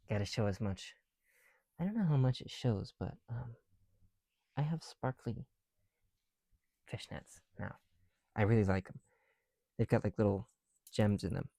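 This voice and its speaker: A man's voice: on the low side, lively intonation, measured pace, creaky, pauses often, dark tone, no echo, clean studio sound. A man making a casual ASMR video, chatting in a self-deprecating way with small asides as they go. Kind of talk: ASMR, casual chat while building bricks. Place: on the bedroom floor at night.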